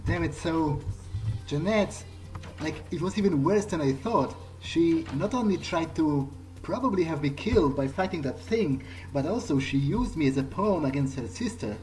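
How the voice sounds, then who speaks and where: A man doing an in-character let's play, thinking aloud in a halting, hesitant way and repeating words. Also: fairly high pitch, animated, a little mellow, faint music behind, poor audio. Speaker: gamer playing in character.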